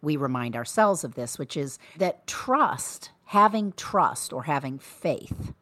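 A woman's voice: low-pitched, expressive, slow, a bit bright, no room sound. A middle-aged woman co-hosting a podcast, speaking frankly and reflectively with dry humor. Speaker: podcast co-host. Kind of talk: casual conversation.

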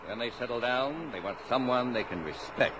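A strict, blustering family father, played by a man, with very animated, emphatic delivery and a sarcastic edge. It is old-fashioned radio acting on a noisy vintage broadcast. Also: medium pitch, normal speed, natural voice. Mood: lecturing.

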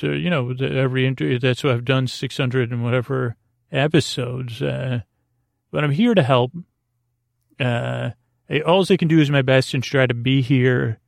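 A man with a gravelly, creaky voice hosts a bedtime podcast. He rambles hesitantly and reassuringly, with a gently silly streak. The sound is close-miked and dry, with almost no room around it. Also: mid-range voice, no room sound. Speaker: podcast host. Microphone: close to the microphone.

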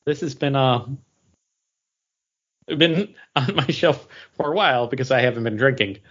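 A man's voice: mid-range voice, normal speed, fairly bright tone, dull audio. An American man chatting casually as a podcast host.

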